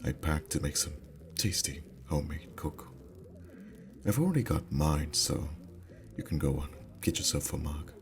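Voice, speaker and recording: Male actor as sweet teasing boyfriend, extremely deep pitch, soft unhurried delivery, intimate ASMR roleplay, background music. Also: expressive, vocal fry, exaggerated voice. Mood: relaxed, weary.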